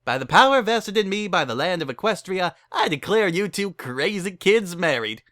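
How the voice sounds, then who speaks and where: A man acts out a middle-aged storybook pony mare who runs weddings, a little tipsy and breezy. The voice is bright and lifted, close and dry, with brisk, casual sales patter. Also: high voice, lively intonation, no room sound, voice pushed up. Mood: hurried, brash.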